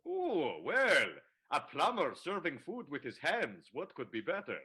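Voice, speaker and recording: A man playing an effusive, clingy friend in an improvised radio soap, with grandiose, deliberate phrasing. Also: on the high side, huge pitch swings, pushed and bright, breathy edge, full character voice. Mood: breezy, pompous.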